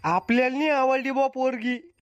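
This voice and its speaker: High-voiced, grumbling old cartoon father, done by a woman. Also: normal speed, no room sound, lo-fi sound, soft background music, lightly acted. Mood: forthright. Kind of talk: comedy cartoon dialogue.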